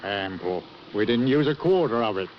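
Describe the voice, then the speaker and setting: An actor playing a blunt, brusque fishing-boat captain and uncle, in 1940s radio acting. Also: male voice, on the low side, lively intonation, moderate pace, close to an everyday voice. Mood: plain. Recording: dull old radio recording with hiss.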